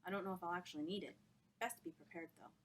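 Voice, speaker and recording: A woman's voice: on the low side, racing, lightly acted, poor audio. A woman reading a story, voicing the wry inner thoughts of a skeptical man in a dry, understated way.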